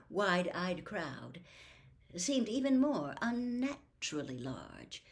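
Slow-paced older woman reading a story. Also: mid-range voice. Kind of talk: expressive audiobook-style reading of a novel. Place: small room at home with bookshelves.

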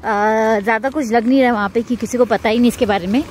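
A woman's voice: fairly high pitch, fairly even intonation, fast, darkish tone. A young woman from India vlogging a road trip, chatting casually and slipping English words into her Hindi. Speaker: travel vlogger. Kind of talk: casual travel vlog narration. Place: outdoors in the mountains and markets. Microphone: handheld phone camera at arm's length. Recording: noisy outdoor phone recording.

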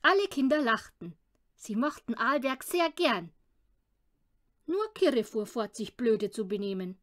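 A woman reading a children's audiobook with expressive storytelling narration. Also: on the high side, unhurried. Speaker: audiobook reader.

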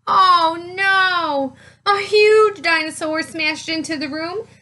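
A woman in her thirties reading a picture book aloud to children, with expressive, deliberate, emphatic phrasing. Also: very high voice. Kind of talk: children's read-aloud storytelling.

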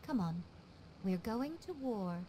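An actress playing a teasing, regal fox-eared priestess who runs a publishing house, in a game. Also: female voice, a little high, animated, background noise. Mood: sly. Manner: teasing, smooth and unhurried. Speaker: chief editor. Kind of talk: game cutscene voice acting.

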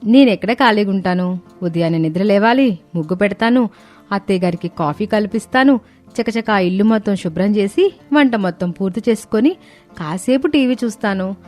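A woman playing a cheerful, eager young city-bred daughter-in-law in an animated moral story. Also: mid-range voice, moderate pace, close to an everyday voice. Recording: music bed under the voice.

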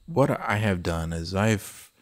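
A man's voice: low-pitched, expressive, slow pace. A man hosting a tutorial, explaining things step by step in an informal, conversational way. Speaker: host of an audio tutorial. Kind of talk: casual how-to tutorial.

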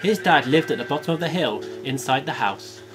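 A man's voice: medium pitch, expressive, brisk. A man narrating a playful fairy tale over a game. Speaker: narrator and gaming channel host. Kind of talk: casual storytelling over a game. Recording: game music in background.

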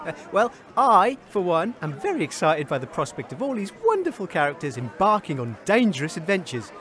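A man as an excitable, high-pitched bumbling radio reporter. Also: fairly quick, full character voice. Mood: excited. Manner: flustered, hesitant, eager. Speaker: radio host and roving reporter. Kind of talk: comedic radio show reporting.

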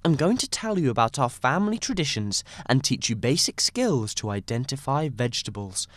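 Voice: medium pitch, big pitch swings, normal speed, no echo, lightly acted. A performer voicing a proud mother rabbit in a cartoon, earnest and instructive. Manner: earnest, lecturing.

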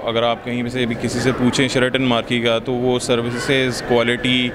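A man in his thirties with a Pakistani accent, giving promotional interview answers with hesitant pauses. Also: mid-range voice, monotone. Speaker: business representative, interviewee. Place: large indoor expo hall. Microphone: handheld reporter microphone. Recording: noisy exhibition hall ambience.

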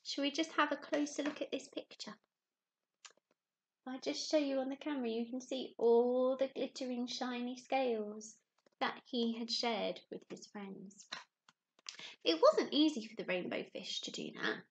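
A woman's voice: sits high, a little slow. A woman, a primary teacher reading and chatting expressively to young children. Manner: expressive, addressing children with questions.

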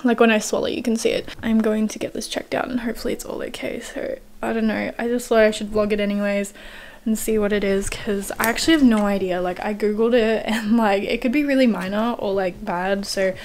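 A woman's voice: mid-range voice, steady tone, a little fast, a little mellow, slight background noise. A young woman in her twenties chatting casually to a phone camera, full of fillers, filming a personal vlog from her car and bedroom. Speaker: vlogger. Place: car, bedroom and hospital room. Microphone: phone camera at arm's length.

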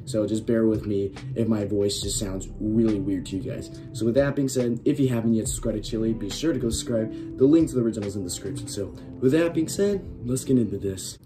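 A young man with a raspy, worn-out voice, chatting casually as host of a reaction video, filling his talk with "like" and laughing often. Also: fairly low pitch, fast talker, few pauses, music in the background. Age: young adult. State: raspy, losing his voice from shouting. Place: small bedroom with LED lights.

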